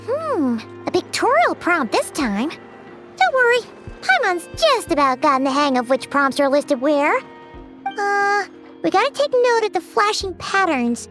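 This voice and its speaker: Tiny chirpy game sprite girl, extremely high squeaky voice, animated swoops, exclamatory, easily exasperated. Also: measured pace, over music, exaggerated voice. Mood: focused, reciting. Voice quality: squeaky, childlike. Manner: speaks of herself in third person, exclamatory. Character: small childlike female companion sprite, chirpy and easily exasperated. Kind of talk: animated video game dub acting.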